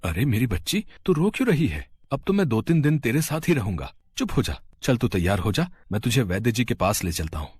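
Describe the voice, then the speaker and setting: A man acting loving village father, very husky, animated pitch, earnest, emotional, storybook drama. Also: a little fast, few pauses. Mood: affectionate.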